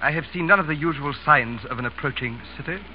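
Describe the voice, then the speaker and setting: A timid, deferential English butler, played by a man in a radio play. His slightly high voice is formal and hesitant. The vintage broadcast sounds muffled. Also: quick pace, a little hiss or hum, natural voice. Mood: polite unease. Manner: stiff, polite, hesitant. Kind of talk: 1930s-40s radio comedy acting.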